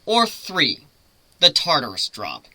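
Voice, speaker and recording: A man voicing a brash, commanding griffin ship's captain in a story reading, loud, theatrical and taunting. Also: on the high side, wildly swooping pitch, slow, dry recording, exaggerated voice, rough recording. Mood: teasing menace.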